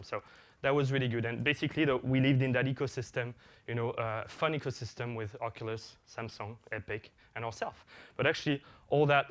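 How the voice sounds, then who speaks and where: A man whose first language is French, giving a conference talk as an executive producer, with many fillers and restarts. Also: mid-range voice.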